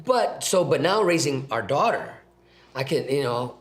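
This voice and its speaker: A man's voice: mid-range voice, very animated, measured pace. A middle-aged man co-hosting a casual talk show, interviewing in a conversational style. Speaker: co-host, interviewer.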